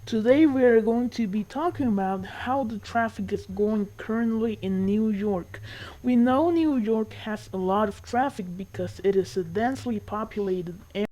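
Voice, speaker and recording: A woman's voice: medium pitch, normal speed, warm tone, lightly acted, rough recording. A woman playing a polite female news anchor in a scripted broadcast. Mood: composed, polite. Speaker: news presenter.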